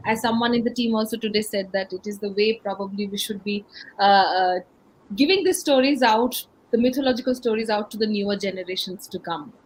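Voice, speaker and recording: An Indian-accented woman speaks as an interview host. Her voice sits a little high and her delivery is conversational. Some noise runs under the recording. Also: poor audio. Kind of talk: conversational hosting.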